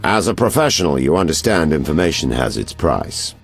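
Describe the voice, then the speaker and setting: An actor playing a sly, knowing private detective in a video game, theatrical and insinuating in manner. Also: male voice, a bass voice, sing-song, fairly quick, soft background music, full character voice. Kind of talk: video game character acting.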